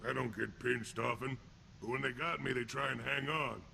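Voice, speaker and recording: An actor playing a crude, sneering alien thug and ex-convict enforcer in a video game, taunting in blunt street-gangster slang with a rough voice. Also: male voice, mid-range voice, steady tone, moderate pace, pushed and bright, slight background noise. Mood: gruff boasting. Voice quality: gravelly, rough. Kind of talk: video game character acting.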